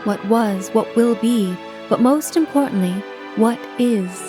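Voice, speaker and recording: Music plays behind a 1950s telephone operator acted by a woman telling a poetic story. She is dreamy and flirtatious, with a slightly high voice. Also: a little slow, natural voice. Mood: dreamy, wistful. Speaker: narrator. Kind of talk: poetic scripted narration.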